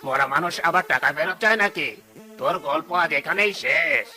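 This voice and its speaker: A scheming village trader from a cartoon voiced by a man, talking rapidly in a forceful, bright voice. The tone is slick and greedy. Faint music plays underneath. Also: on the high side. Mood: cruel. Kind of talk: cartoon story acting.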